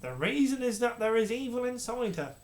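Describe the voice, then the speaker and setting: A narrator voicing a scheming male royal adviser who speaks slowly and soothingly. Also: mid-range voice, sing-song, a little mellow, pitched above the performer's own voice. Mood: falsely soothing. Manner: slow, measured, falsely soothing. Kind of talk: storybook character reading.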